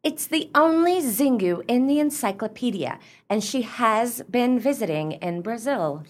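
A woman's voice: a little high, lively intonation, unhurried. A woman acting a prim, pedantic and easily scandalized book club lady in a radio play, proper and slightly hesitant. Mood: surprised.

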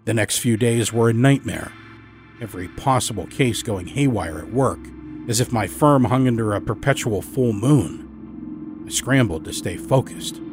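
A man delivers scripted first-person narration for a horror audio story. His reading is dramatic and expressive, with deliberate emphasis, and his medium-pitched voice sits over a musical score. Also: soft background music.

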